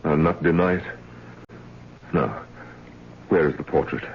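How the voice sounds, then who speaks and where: An actor playing a stern, reluctant gentleman painter in an old radio drama, with formal, theatrical and incredulous delivery. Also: a bass voice, measured pace, gravelly, heavily acted. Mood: grave, stern. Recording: muffled, very noisy old radio recording.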